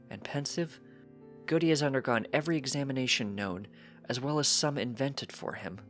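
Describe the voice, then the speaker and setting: A man puts on a proper mother pony for a storybook, lifted in pitch. She speaks precisely and formally, calm but firm, over music. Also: close to an everyday voice.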